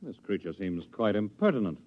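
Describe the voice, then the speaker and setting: An actor playing a contemptuous, imperious government director in a 1950s radio drama. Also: male voice, fairly low pitch, sing-song, a little fast. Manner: imperious, disdainful. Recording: dull, very noisy old radio recording.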